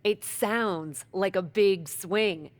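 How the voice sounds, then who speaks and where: A woman's voice: mid-range voice, extremely slow, no echo. A woman playing a plucky, cocky wrestler in a video game cutscene, speaking in a casual, teasing way. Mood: confident, persuasive.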